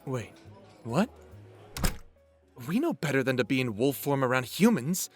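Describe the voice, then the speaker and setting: A man as an earnest, mid-pitched werewolf. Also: sing-song, measured pace, faint music behind. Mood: concerned. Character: earnest, level-headed male werewolf pack member investigating. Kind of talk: audio drama acting.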